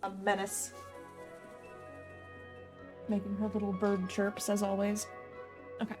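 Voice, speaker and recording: A woman's voice: medium pitch, monotone, measured pace. A woman in her twenties talks casually to her live stream. She chats as she plays a game, with music running behind her voice. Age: 20s. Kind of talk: casual gaming stream chat.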